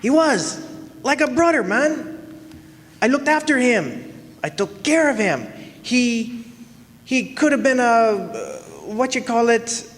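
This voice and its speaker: Brief: an actor playing a sleazy, rambling trainer with a put-on Latin accent, pitched high, in a long echoing hall. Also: male voice, expressive, takes it slowly, long pauses, a bit bright, exaggerated voice. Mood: mournful. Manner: rambling, trailing off. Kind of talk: live stage radio play acting. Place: historic club hall, live audience performance.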